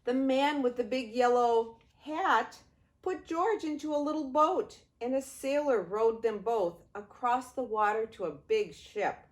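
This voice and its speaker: An older woman, a grandmother, reading a children's story aloud in an expressive way, drawing out words and pausing. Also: a little high. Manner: expressive, with drawn-out words and pauses.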